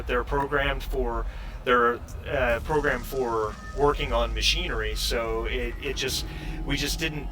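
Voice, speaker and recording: A man acting as game master, improvising tabletop narration in a halting, easygoing way. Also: mid-range voice. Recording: distant mic, clear background noise.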